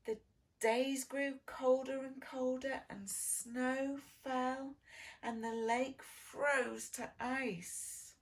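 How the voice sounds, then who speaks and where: A woman reading a children's storybook as a preschool lead, with sing-song delivery, dramatic pauses and simple questions for young listeners. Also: high voice, little pitch movement. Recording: distant microphone.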